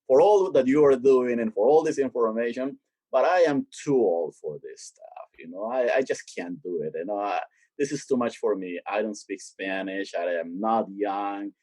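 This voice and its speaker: A man's voice: fairly low pitch, normal speed, no room sound, rough recording. A man speaking as a theologian guest in an interview, explaining his work in a conversational way and growing emphatic as he makes his points. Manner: grows animated and emphatic when making a point. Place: lives in Boston.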